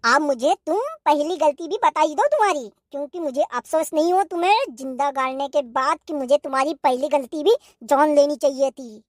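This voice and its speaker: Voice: high-pitched, normal speed, hardly any pauses, no echo, close to an everyday voice. A performer voicing a hot-tempered young wife in a cartoon comedy, scolding and sarcastic. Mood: scornful scolding.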